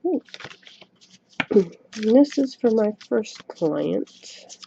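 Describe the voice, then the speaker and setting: A soft-spoken woman giving a tarot reading to camera at home, chatty and a little self-conscious, recorded on a webcam microphone. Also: a little high, very animated, extremely slow, gravelly, slight background noise. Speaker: tarot reader. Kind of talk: casual solo reading to camera. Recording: dull lo-fi webcam mic recording.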